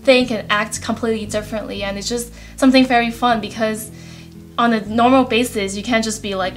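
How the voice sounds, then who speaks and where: A young woman, an actress answering interview questions in an earnest, measured way. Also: a little high, steady tone, faint music behind.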